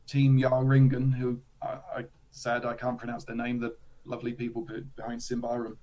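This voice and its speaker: A man, a slightly hoarse guest chatting casually about tabletop games, with self-deprecating asides. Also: mid-range voice, completely flat delivery, moderate pace, mellow, muffled recording. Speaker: guest, tabletop game designer. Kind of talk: casual interview chat.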